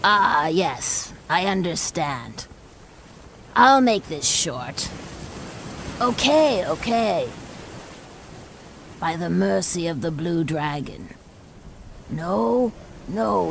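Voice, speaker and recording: A woman voicing a grave, haunted storyteller in a video game, with a creaky voice and a deliberate, dramatic delivery. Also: fairly low pitch, lively intonation, a bit bright, slight background noise, exaggerated voice. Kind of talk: video game character monologue.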